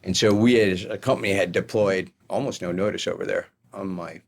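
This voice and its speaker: A man's voice: low-pitched, fairly even intonation, a little fast, vocal fry. A middle-aged American man telling stories from his career as a guest in a conversational interview. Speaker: podcast guest, retired army colonel.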